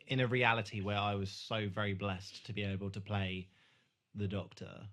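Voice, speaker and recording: A man talks on a podcast in a low-pitched voice with a crisp, forward brightness. He is thoughtful and hesitant, feeling his way through each point in a casual, conversational style. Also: level tone, moderate pace. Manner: thoughtful, hesitant, searching for words. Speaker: podcast host.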